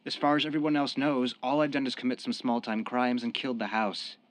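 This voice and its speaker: A man's voice: mid-range voice, flat delivery, brisk, no room sound, lightly acted. An actor in a radio drama playing a young former gangster, repentant and devoted to his sweetheart. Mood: earnest. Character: young ex-mob tough, repentant, devoted expectant father.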